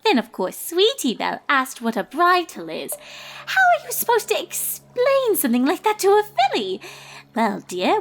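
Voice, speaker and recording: A prim and theatrical young lady unicorn from a cartoon story, acted by a woman reader. The high voice swings widely in pitch as it gossips in wordy, indignant flourishes. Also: heavily acted. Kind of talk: cartoon fan-fiction character reading.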